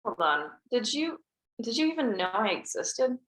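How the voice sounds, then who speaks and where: A woman's voice: a little high, big pitch swings, moderate pace, a bit bright. A woman reading the part of a young woman who feels betrayed, in an emotional, accusing way at a table read. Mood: hurt, accusing. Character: young woman, hurt and confronting her family. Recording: roomy echo.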